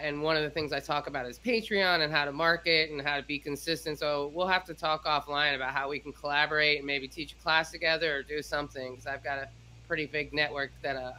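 Female host, middle-aged. Bright, punchy voice, informal and effusive, chatting on a live video call. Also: low voice, low-quality recording. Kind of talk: casual hosting chat. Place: indoor room, video call.

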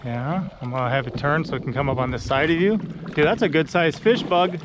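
A middle-aged man filming outdoors on a lake with an action camera, excitedly coaching his young son through landing a fish. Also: fairly high pitch, brisk, background noise. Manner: encouraging, excited, coaching a child. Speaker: vlogger. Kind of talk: casual coaching commentary.

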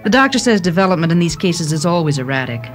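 Gushing, confiding young mother, lowish register, radio drama monologue over music. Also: sing-song, fast. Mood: proud, gushing. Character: doting, chatty young mother writing letters.

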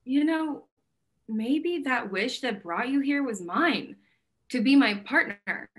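Woman playing an eager, smitten young woman, higher voice. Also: lively intonation, slow pace. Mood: warm, excited. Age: young adult. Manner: casual, gushing, candid. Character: eager, smitten, blunt modern woman gallery owner. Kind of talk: theatrical staged reading. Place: indoor room at home, video call.

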